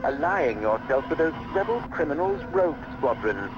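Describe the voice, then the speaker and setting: A man's voice: fairly high pitch, big pitch swings, moderate pace, heavy background noise. A man playing a haughty, cold, sneering governor in a video game cutscene, with pompous, formal, gloating speech. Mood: gloating menace. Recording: muffled lo-fi game audio, music behind.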